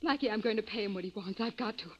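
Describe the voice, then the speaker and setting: A woman's voice: mid-range voice, racing, a little hiss or hum, close to an everyday voice. An actress playing a frightened, pleading young woman in an old-time radio crime drama. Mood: shaken, pleading. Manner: pleading, breathless, emotional. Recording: muffled old radio recording.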